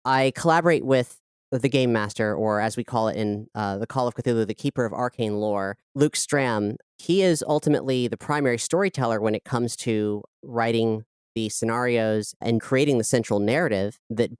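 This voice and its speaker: Low, crisp-voiced woman explaining creative work. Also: normal speed, darkish tone, no echo, clean studio sound. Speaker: audio drama creator and director, interview guest. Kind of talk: conversational interview explanation.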